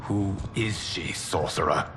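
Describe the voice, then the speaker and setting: An actor playing a stern, noble protector of the realms in a video game, grave and formal. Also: male voice, low-pitched, takes it slowly, heavy background noise. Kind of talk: dramatic video game cutscene acting. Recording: film score behind the voice.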